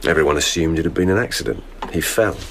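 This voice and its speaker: Very deep-voiced, blunt investigating lieutenant. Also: male voice, vocal fry, a bit bright, a little hiss or hum, heavily acted. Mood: insistent. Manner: brisk, insistent questioning. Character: blunt, probing male lieutenant investigating a palace murder. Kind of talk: radio drama acting.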